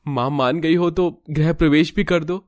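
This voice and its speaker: A man's voice: on the high side, steady tone, unhurried, no room sound, studio quality, pitched above the performer's own voice, close to an everyday voice. A man voicing a devoted, earnest young husband and son in a cartoon family story. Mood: pleading, insistent.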